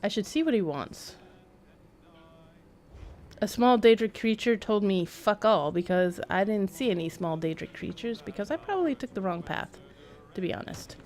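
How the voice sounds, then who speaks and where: A woman's voice: medium pitch, expressive, moderate pace, darkish tone. A woman giving casual live-stream game commentary, rambling as she thinks aloud, with wry asides.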